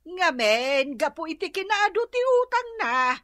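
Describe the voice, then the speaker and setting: Bright, swooping Ilocano gossip. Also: female voice, very high voice, sing-song, no echo, clean studio sound, heavily acted. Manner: exclamatory, drawn-out, confiding gossip. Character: gossipy, boastful neighborhood woman, giggly and excitable. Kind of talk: Ilocano radio comedy drama acting.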